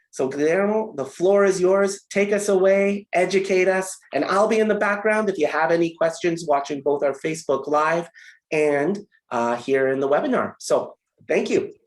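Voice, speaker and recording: Polite webinar host, mid-pitched voice. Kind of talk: informal webinar introduction.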